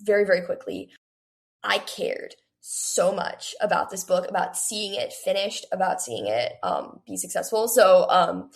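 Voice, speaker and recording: A woman's voice: medium pitch, animated, unhurried, creaky. A young woman, speaking as an interview guest in an eager, rambling, casual way full of filler likes. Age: late teens, young adult. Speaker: author, podcast guest.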